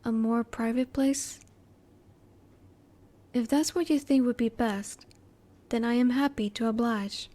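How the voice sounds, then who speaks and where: A woman acts out a female alien in an intimate audio roleplay. Her voice sits slightly high yet is very soft-edged and relaxed. She is politely clinical and inquisitive, keeping her emotions in reserve as she speaks in measured, formal phrases. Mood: curious, polite.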